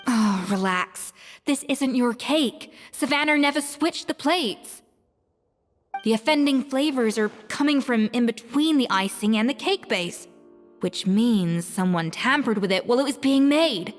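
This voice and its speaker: A woman's voice: a little high, animated, measured pace. A woman playing a blunt, imperious young pastry chef in a game, with a theatrical no-nonsense manner. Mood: disdainful. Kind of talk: English game voice acting. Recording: long reverb over background music.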